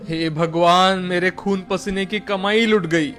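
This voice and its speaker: A man acts out an excitable farmer for a cartoon fable, in a high-pitched voice. He is secretive and theatrical, quick to exclaim and lament. Faint music plays behind him. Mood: alarmed. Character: hard-working farmer husband, secretive, excitable, given to lamenting. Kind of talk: exaggerated Hindi moral-story cartoon dialogue.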